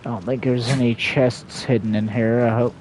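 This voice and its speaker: A man's voice: mid-range voice, flat delivery, normal speed, darkish tone, slight background noise, poor audio. A man live streaming a game with casual, offhand commentary.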